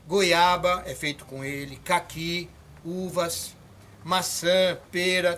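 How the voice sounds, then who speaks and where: A man's voice: high voice, sharp tone, slight background noise. An older man from Brazil, demonstrating how to mix a farm spray in a folksy, encouraging way that speaks straight to the viewer. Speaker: orchard farmer teaching. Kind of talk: hands-on demonstration.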